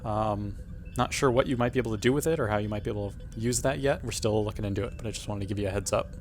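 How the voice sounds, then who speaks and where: A man's voice: on the low side, racing, a little mellow, slight background noise. A man chatting casually as a player in a tabletop role-playing game.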